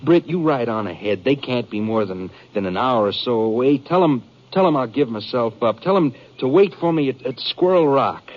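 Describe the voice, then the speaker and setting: A man acting a frightened, aggrieved American rancher on the run in a 1950s radio western, speaking in a rustic, earnest, halting way. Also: mid-range voice, lively intonation, lightly acted. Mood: anxious, pleading. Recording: muffled 1950s radio recording.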